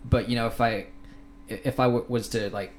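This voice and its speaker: A man in his twenties with an American accent, a podcast guest explaining things in a measured way with many fillers. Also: medium pitch, steady tone, a little hiss or hum. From Filipino-American, raised in Thailand. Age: 20s. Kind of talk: casual interview. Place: podcast studio.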